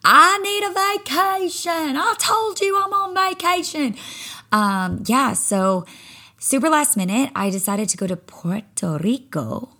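Candid, animated woman hosting a podcast. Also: high-pitched, measured pace. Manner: candid, animated, swears casually, acts out her own thoughts. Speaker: podcast host, spin instructor. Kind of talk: casual solo podcast monologue.

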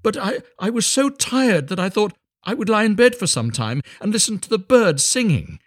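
A man's voice: sits high, lively intonation, normal speed, no echo, clean studio sound, pitched above the performer's own voice. A man voicing a shy, timid, humble little man in a storybook, apologetic and hesitant, eager to please. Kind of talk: storybook character voice.

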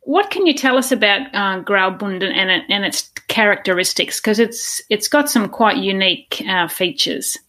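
A woman hosts an interview podcast in a medium-pitched voice. She is polite and encouraging, and keeps her questions conversational. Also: moderate pace, hardly any pauses, pushed and bright. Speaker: podcast host.

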